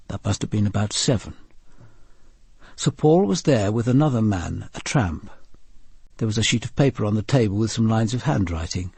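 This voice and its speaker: An actor playing a grave, probing senior police detective, narrating a radio drama with a gravelly, husky voice. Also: male voice, medium pitch, a little mellow, no echo. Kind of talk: dramatic scripted first-person narration.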